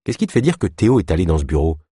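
Man voicing a blunt, impatient young woman, husky. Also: sits low, big pitch swings, very fast, dark tone, no echo, clean studio sound, full character voice. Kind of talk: audiobook character acting.

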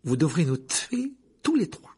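A man's voice: on the high side, sing-song, moderate pace, warm tone, slightly rough, voice pushed up. A man doing a defiant injured teenage boy. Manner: firm, insistent.

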